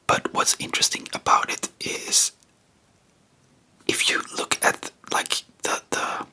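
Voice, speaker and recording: ASMR history host: breathy whisper with a very bright edge, informal and rambling delivery. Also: slow.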